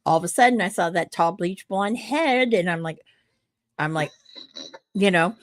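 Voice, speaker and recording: Loud, rambling woman telling a story. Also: fairly low pitch, expressive, normal speed, dry recording, clean studio sound. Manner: rambling, full of "like" and quoted dialogue. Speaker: podcast co-host. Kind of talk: casual anecdote telling.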